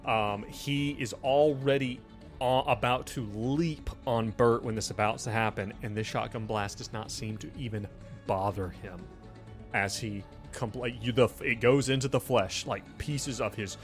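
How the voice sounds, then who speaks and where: A man's voice: mid-range voice, steady tone, measured pace, faint music behind. A man working as game master, casually narrating a tabletop horror game and calling for rolls.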